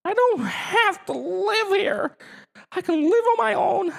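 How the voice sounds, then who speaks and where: A man's voice: very high voice, animated, slow pace, creaky, voice pushed up, heavily acted. A man voicing a whiny, indignant little alien creature in a theatrical, grumbling way for a children's storybook. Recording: long hall-like reverb.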